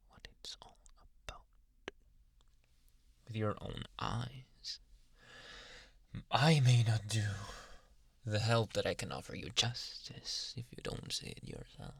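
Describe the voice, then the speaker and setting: A man playing a possessive, softly menacing psychiatrist in an ASMR roleplay, coaxing in a hushed, breathy, deliberate voice. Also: no echo, exaggerated voice. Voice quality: breathy, gravelly low voice.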